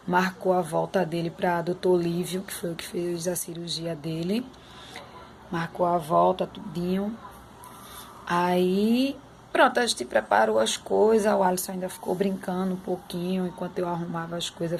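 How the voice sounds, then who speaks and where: A young woman vlogging about her son's time in hospital, slightly hoarse, talking to her viewers in a heartfelt, chatty way. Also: mid-range voice, darkish tone, a little hiss or hum. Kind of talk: emotional personal vlog.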